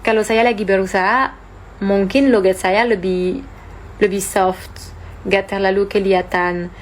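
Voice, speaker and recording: A woman's voice: mid-range voice, fairly even intonation, measured pace, a little hiss or hum, low-quality recording. A young French woman with a French accent, explaining thoughtfully and hesitantly while she searches for words. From France. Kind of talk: casual explaining to camera.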